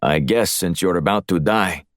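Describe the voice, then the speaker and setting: A man's voice: deep voice, no room sound, clean studio sound, natural voice. Man voicing a clipped, menacing Russian crime boss. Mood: menacing, probing. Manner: clipped, deliberate speech. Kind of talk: audiobook character voice.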